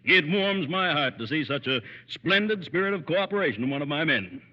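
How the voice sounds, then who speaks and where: An actor playing a brusque, pompous older judge and employer in an old radio drama, speaking with declamatory authority. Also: male voice, a little high, expressive, a little fast. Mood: pompous, solemn. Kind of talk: 1930s-40s radio acting. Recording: muffled vintage radio recording, echoey.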